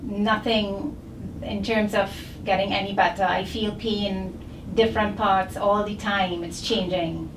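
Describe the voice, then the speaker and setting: A woman from Barbados with a Barbadian accent, speaking as a patient recounting her medical history in halting short phrases. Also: medium pitch, steady tone. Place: indoor chiropractic clinic. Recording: echoey noisy room, distant mic.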